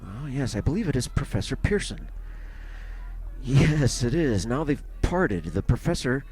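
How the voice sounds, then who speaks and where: A man's voice: medium pitch, a little mellow, lightly acted. An actor playing a male radio field reporter describing events live, in breathless old-time radio drama style. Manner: breathless eyewitness narration. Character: male radio reporter at a crash site, panicking. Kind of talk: 1930s radio drama acting. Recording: noisy old radio recording.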